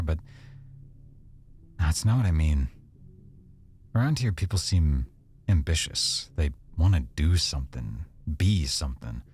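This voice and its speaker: A man playing an affectionate, easygoing boyfriend in an intimate roleplay, speaking casually and softly with hesitant pauses. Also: a bass voice, full character voice. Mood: reflective, tender. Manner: soft-spoken, casual, hesitant pauses and trailing thoughts. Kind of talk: boyfriend roleplay ASMR, one-sided intimate conversation.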